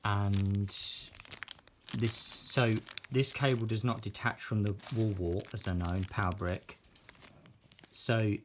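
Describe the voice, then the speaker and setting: British male reviewer, lower register, informal unscripted demo, slight background noise. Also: steady tone, slow pace. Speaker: product reviewer filming an unboxing. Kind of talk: casual unscripted product demonstration.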